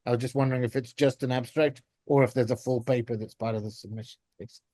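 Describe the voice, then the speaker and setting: A man with an English accent, a native English speaker, puts an informal question at an academic talk. His voice is dark and relaxed, recorded close and dry, and his phrasing is tentative. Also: medium pitch, a little fast, no room sound. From England. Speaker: audience questioner, media studies academic.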